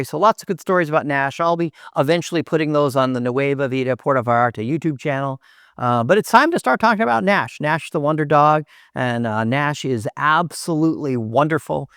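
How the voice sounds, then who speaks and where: A cheerful man chatting to his channel with plenty of ums and uhs. His voice sits a little high and comes across smooth and resonant. The sound is dry and close, with almost no room echo. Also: fairly bright tone. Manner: upbeat, enthusiastic, chatty with fillers. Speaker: vlogger host. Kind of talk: casual chat to camera.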